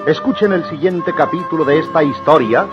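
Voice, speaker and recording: A man with a Mexican accent and a husky voice, giving dramatic scripted narration as an old radio announcer. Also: fairly high pitch, a little fast. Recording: muffled old radio recording, faint music.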